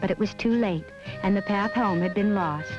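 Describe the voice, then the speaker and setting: A woman's voice: mid-range voice, normal speed. A woman narrating a children's fairy tale from a script, as a storyteller. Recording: dull audio with music behind. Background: music.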